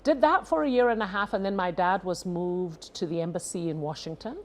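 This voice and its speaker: A woman's voice: fairly low pitch, brisk. A middle-aged woman from East Africa, a guest telling her life story conversationally and explaining as she goes, often checking in with short tags. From East Africa (Uganda and Kenya). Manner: explanatory, adds checking tags like "huh?" and "yeah?". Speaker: talk show guest. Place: TV studio.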